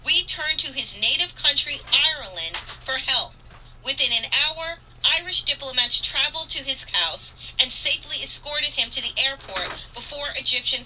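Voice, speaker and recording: A woman talk host delivers earnest, emphatic political commentary in a sharp, very bright voice. She reads deliberately and leans hard on key phrases. The mic sounds somewhat distant, with faint noise behind her. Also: high-pitched. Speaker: radio talk show host. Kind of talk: impassioned political commentary reading from an op-ed.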